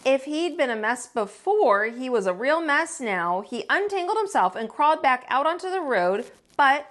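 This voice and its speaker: A woman with a fairly high voice reads a children's story aloud. Her pitch swings widely as she leans into folksy phrasing. She gives dramatic weight to key words, like a teacher at storytime. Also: normal speed. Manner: folksy, expressive, dramatic emphasis on repeats. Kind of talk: animated read-aloud storytelling.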